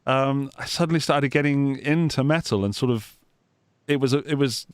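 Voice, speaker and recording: A man's voice: mid-range voice, normal speed, smooth, no echo, clean studio sound. A man from England with a Gloucestershire accent, hosting a live guitar podcast over a video call in a chatty, jokey way with many restarts. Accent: English, Gloucestershire. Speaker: podcast host. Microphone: studio microphone on a video call.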